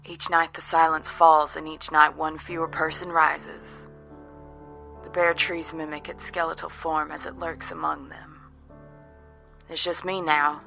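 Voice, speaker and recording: Slow, gravelly, haunted horror survivor acted out. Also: mid-range voice, sharp tone, full character voice. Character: haunted, fearful lone survivor of a horror tale. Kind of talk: dramatic horror fiction narration.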